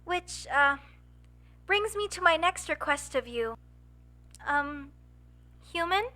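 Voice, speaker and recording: A woman's voice: sits high, expressive, takes it slowly, pushed and bright, heavily acted. A woman voicing a young, earnest and flustered elf mage student in a comedic audio roleplay, with formal, hesitant phrasing. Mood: pleading, nervous. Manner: formal, slightly stilted phrasing with nervous hesitations.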